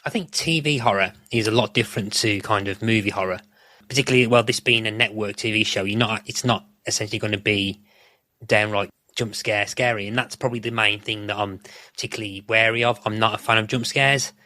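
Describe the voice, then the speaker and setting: A man's voice: lowish voice, fairly even intonation, fairly quick, sharp tone, dry recording. A man chatting casually as a podcast guest, explaining things in a rambling way. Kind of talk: casual chat.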